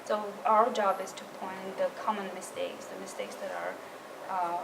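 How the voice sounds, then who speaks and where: A woman's voice: mid-range voice, steady tone, moderate pace, vocal fry. A woman leading a writing tutor training session, explaining patiently and restarting her phrases. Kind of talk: workshop presentation. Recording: roomy distant mic with room noise.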